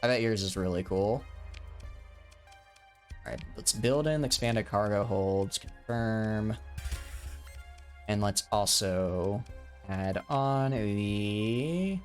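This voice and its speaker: A man's voice: on the low side, expressive, takes it slowly, pauses often, soft background music. A young man in his twenties, live-streaming a game and chatting casually, rambling with lots of restarts and fillers. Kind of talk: casual live-stream chat while gaming.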